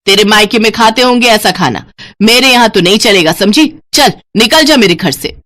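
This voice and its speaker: A woman voicing a sharp-tongued, contemptuous older mother-in-law in a Hindi cartoon story, loud and taunting. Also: mid-range voice, lively intonation, moderate pace. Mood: angry, scornful.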